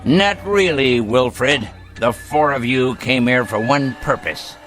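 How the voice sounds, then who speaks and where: Slow, sardonic dying old patriarch. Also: male voice, medium pitch, a bit bright, soft background music. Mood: bitter, scornful. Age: older. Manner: theatrical, deliberate, biting, grandiloquent. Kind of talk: radio drama acting.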